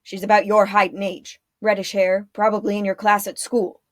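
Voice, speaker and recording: A woman voicing gruff, bossy male town guards who bark loud demands. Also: mid-range voice, level tone, moderate pace, no room sound, clean studio sound, natural voice.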